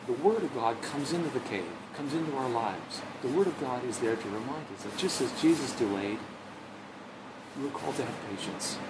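Earnest pastor preaching outdoors. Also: male voice, mid-range voice. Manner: earnest, gesturing with his hands, repeats words as he searches. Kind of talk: sermon. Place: outdoors, under a bridge then at an open lookout. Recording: noisy outdoor recording.

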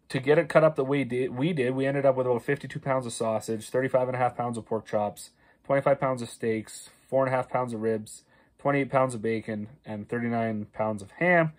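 Casual farmer vlogger, thirties, from Canada; chatty and rambling, with fillers and restarts, filmed on a phone in a small paneled room. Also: male voice, mid-range voice, a little mellow. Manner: conversational, many fillers and restarts, stresses prices. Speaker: farmer and video host. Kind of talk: casual talking-head explainer. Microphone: phone camera at arm's length.

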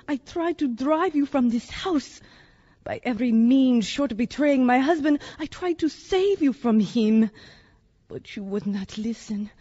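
An actress playing a long-suffering, coolly scornful foreign wife who speaks formally in an audio drama. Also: female voice, on the high side, dark tone, husky, highs cut off. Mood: reproachful. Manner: formal, deliberate phrasing.

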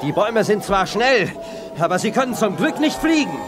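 Young male adventurer for 1980s sci-fi radio drama: brave, commanding, highish voice, lively intonation. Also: normal speed. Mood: urgent, alarmed. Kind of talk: German 1980s sci-fi radio play acting.

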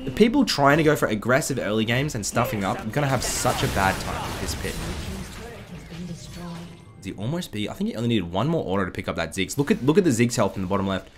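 A young man with a slightly croaky voice, casually commenting on a game replay from his desk, chatty with excitable bursts. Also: mid-range voice, quick pace. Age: young adult. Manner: chatty, excitable bursts over calm analysis. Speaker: gaming commentator. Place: indoor room at a desk. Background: background music.

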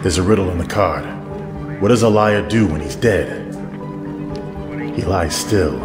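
A man playing a calm, steady police lieutenant in an audio drama, speaking in a measured, businesslike way. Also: low-pitched. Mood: placating. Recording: echoey hall reverb, music behind.

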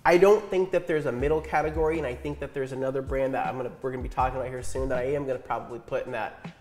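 A man's voice: mid-range voice, fairly even intonation, racing, a little mellow. A man in his thirties hosting a casual tier-list chat in a home garage, easygoing and self-deprecating. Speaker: host.

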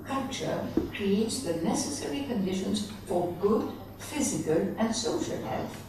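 A middle-aged woman reads a formal conference paper at a lectern, in a measured way with occasional self-corrections. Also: mid-range voice, expressive. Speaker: conference speaker reading a presentation. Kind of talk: reading a prepared conference paper. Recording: echoey conference hall, distant mic, faint background noise.

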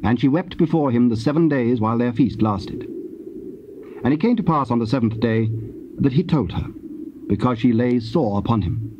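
A man giving a solemn, measured scripted narration of scripture. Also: medium pitch, expressive. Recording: muffled, noisy old cassette transfer.

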